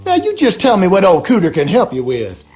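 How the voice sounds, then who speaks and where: High-pitched folksy Southern swamp yokel. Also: male voice, wildly swooping pitch, fairly quick, a little mellow, soft background music. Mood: friendly, storytelling. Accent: rural Louisiana Southern American. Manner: folksy, drawling, colloquial storyteller. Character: gossipy superstitious Southern backwoods swamp husband. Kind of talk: animated game character dialogue.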